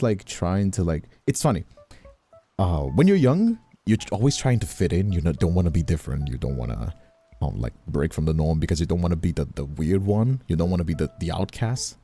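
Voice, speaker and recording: A young man from Brazil chatting casually on a livestream, halting and repeating himself as he checks for agreement. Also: sits low, expressive, dark tone. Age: young adult. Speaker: streamer. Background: background music.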